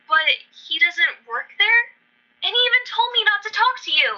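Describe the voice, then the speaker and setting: A woman's voice: extremely high pitch, lively intonation, moderate pace, sharp tone, exaggerated voice. A woman playing a naive, chatty, anxious little girl in an amateur radio play. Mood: earnest, worried. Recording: dull lo-fi audio.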